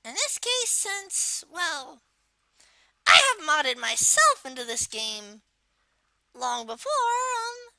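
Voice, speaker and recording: A performer voicing a small turtle-like video game villain kid, giving a casual step-by-step software tutorial in a halting, hesitant way. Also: high voice, very animated, no echo, exaggerated voice, rough recording. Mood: hesitant, matter-of-fact. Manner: halting, hesitant, trailing off in asides. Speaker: tutorial presenter.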